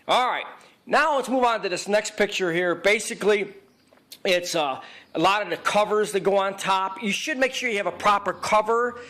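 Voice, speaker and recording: A man's voice: sits high, moderate pace, sharp tone. A man narrating an informal how-to as a repair technician, plain-spoken and emphatic, often repeating his points. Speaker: video equipment repair technician giving a tutorial. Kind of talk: informal instructional narration over pictures.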